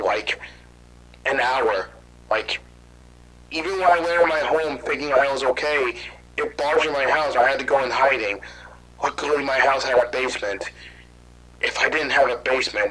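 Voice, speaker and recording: A man's voice: mid-range voice, moderate pace, sharp tone, lightly acted, low-quality recording. An actor playing a frightened man hunted by monsters, rambling anxiously and swearing in his panic. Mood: shaken. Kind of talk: amateur horror audio drama.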